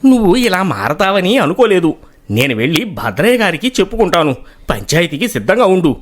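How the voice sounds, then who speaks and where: Earnest village farmer played by a male actor, higher male voice, very varied pitch, storybook dialogue. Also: big pitch swings, a little fast. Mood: indignant, hurt. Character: wronged, earnest village farmer pleading his case. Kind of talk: acted moral-story dialogue.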